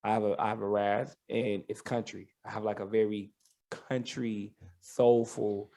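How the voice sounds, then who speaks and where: A man with a somewhat low voice and a noticeable lisp speaks with a Southern American accent. He sounds like an interview guest telling his own story, reflective and emphatic. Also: little pitch movement, a little slow, gravelly, dark tone. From Tennessee, United States. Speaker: guest, R&B soul singer. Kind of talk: candid podcast interview. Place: studio.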